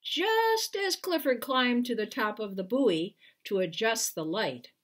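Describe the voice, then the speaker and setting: A woman in her sixties or older with a husky voice reads a children's book aloud in a deliberate, expressive way, as an author at storytime. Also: a little high. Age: 60s or older. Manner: deliberate, expressive reading with brief explanatory asides. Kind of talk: storytime read-aloud to camera. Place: small living room at home, indoors.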